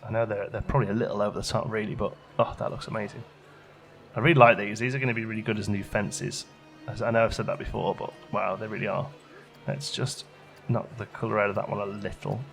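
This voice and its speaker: A man's voice: on the low side, steady tone, fast talker, vocal fry, a bit bright, faint music behind. A man giving casual gameplay commentary, chatting and musing aloud as he questions his own choices, as a let's play host.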